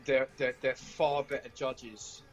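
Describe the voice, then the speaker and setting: A man's voice: mid-range voice, fairly even intonation, takes it slowly, muffled recording. A man chatting casually as an interviewed musician, hesitant and self-deprecating. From South of England. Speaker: band member and songwriter being interviewed. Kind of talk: casual chat.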